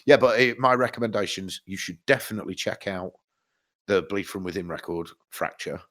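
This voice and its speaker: A man with a low, deep voice, stuffed up from hay fever and chatting loosely as a podcast co-host. He wanders through his points, restarting sentences and swearing casually. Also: fairly even intonation, brisk, a bit bright. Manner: rambling, swears freely, many restarts. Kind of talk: casual chat.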